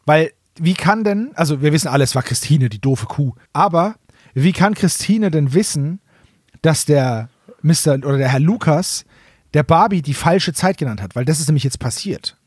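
A man's voice: a little high, animated, moderate pace, a little mellow, breathy edge, no echo, clean studio sound. A man chatting casually and humorously as a podcast co-host, colloquial and jokey, slipping into short mock quotes. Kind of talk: casual humorous chat recapping an audio drama.

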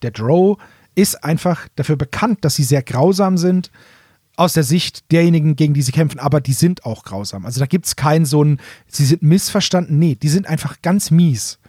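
A middle-aged German man with a slightly high voice co-hosts a podcast. He explains things in casual fan talk, often restating himself as he goes. The sound is dry and close. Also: normal speed, mellow, slightly rough, dry recording. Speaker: podcast co-host reviewing audio dramas. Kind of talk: casual, enthusiastic fan chat.

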